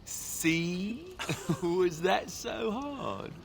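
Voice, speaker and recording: An actor playing a lovestruck young warrior in a video game, earnest and wryly self-mocking. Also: male voice, sits high, animated, very slow, vocal fry, exaggerated voice. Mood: affectionate, playful. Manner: earnest, teasing, wryly joking. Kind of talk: video game dialogue acting.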